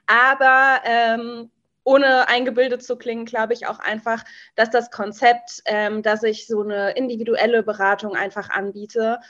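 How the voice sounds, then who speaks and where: A woman's voice: a little high, level tone, measured pace, a bit bright, lo-fi sound. A woman in her early twenties chatting casually as a podcast guest, bubbly and slangy, with many hesitant fillers. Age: early 20s. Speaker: podcast guest, beautician and entrepreneur. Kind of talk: casual interview chat.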